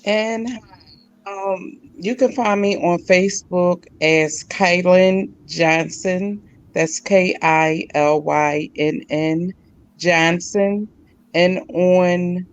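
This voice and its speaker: A woman guest thanking her cast, unhurried and affectionate. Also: on the low side, steady tone, smooth. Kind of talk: casual thanks. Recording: dull lo-fi audio.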